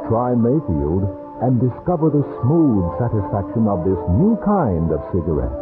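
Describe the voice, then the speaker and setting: An actor playing a smooth 1950s cigarette pitchman, measured and emphatic, in a muffled old broadcast advertisement. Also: sing-song. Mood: persuasive. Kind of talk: vintage advertisement narration. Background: loud music.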